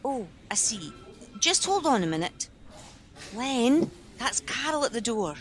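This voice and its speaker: A woman's voice: fairly high pitch, sing-song, unhurried, soft background music. A woman playing a flustered, well-meaning helpline office worker in a naturalistic radio play, speaking hesitantly.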